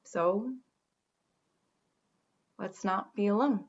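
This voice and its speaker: Casting: actress for a sardonic, regretful woman radio host, natural middle pitch, comedy radio drama, slightly dull recording. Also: drawn-out words. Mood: quiet, lonely. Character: wry, tipsy woman radio show host, regretful and lonely.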